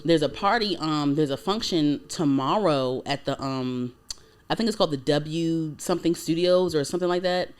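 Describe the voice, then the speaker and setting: A woman's voice: deep voice, normal speed, clear, resonant tone. Chatty woman in her late twenties. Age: 20s. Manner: chatty, many fillers and "like"s. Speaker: podcast host. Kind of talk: casual chat.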